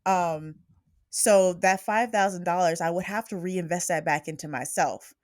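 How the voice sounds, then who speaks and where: A woman's voice: lowish voice, moderate pace, darkish tone, dry recording, studio quality. A woman hosting a podcast interview, upbeat and chatty, laughing often. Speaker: podcast host. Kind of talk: casual interview chat.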